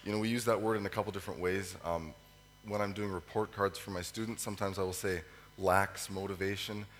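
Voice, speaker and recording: A man with a cold, his voice hoarse and fading, preaches a sermon with many ums and pauses to clear his throat. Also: sits low, steady tone, a bit bright. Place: church hall. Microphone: microphone. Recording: echoey hall with faint hum.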